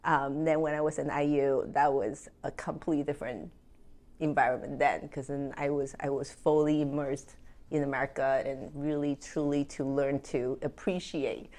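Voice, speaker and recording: A low-pitched woman from Taiwan speaks as an interview guest. She tells her stories in an animated way, with plenty of ums and small laughs. Her voice sits deep for a woman. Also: moderate pace. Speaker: guest, French horn player. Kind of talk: conversational interview.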